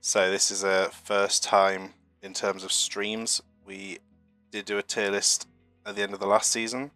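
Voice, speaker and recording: A young British-sounding man getting over a flu chats loosely through a live stream, rambling and poking fun at himself between fillers. His voice sits low and stays fairly flat, heard up close and dry, with faint music under it. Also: a little slow, no echo. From United Kingdom. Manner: chatty and rambling, self-deprecating, with frequent fillers. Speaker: streamer hosting a marble racing channel. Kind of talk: casual live-stream chat reading chat messages.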